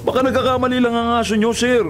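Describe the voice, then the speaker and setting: A man's voice: very high-pitched, level tone, fast. A man acting a young delivery rider in a radio drama, desperate and insistently pleading his innocence. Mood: panicked pleading. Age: young adult. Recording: music bed under the voice.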